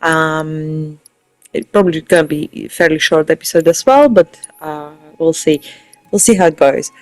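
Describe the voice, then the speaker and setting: Gaming host, casual playthrough commentary, rambling, informal, thinking aloud, medium pitch, dry sound. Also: moderate pace, darkish tone, dry recording, faint music behind, rough recording.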